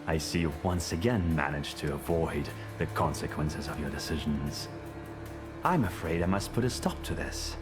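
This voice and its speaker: Very deep, menacing police chief. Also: male voice, lively intonation. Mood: stern, composed. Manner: formal, taunting, measured. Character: menacing, smooth-talking police chief in Tsarist Warsaw. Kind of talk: dramatic video game dialogue acting. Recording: loud music under the voice.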